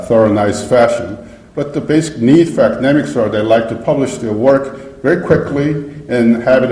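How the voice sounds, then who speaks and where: A man presenting at an academic seminar in English, pausing often with fillers and restarting his phrases. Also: mid-range voice, warm tone. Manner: halting, many uh fillers and restarts. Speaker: academic conference speaker. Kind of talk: conference presentation. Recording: echoey lecture hall.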